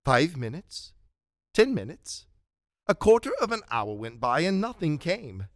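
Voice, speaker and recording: A man giving an expressive, storybook narration for a radio drama. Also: fairly high pitch, a little slow, no room sound, clean studio sound.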